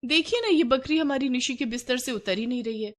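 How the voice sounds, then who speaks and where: A woman's voice: high-pitched, fairly quick, pitched above the performer's own voice, natural voice. A woman voicing an exasperated, anxious scolding mother in a children's story. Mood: flustered.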